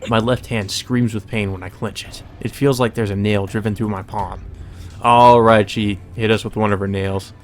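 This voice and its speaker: A man's voice: fairly low pitch, fairly even intonation, moderate pace, soft background music. A man reading visual novel narration aloud as a let's play, mixed with casual, sweary commentary.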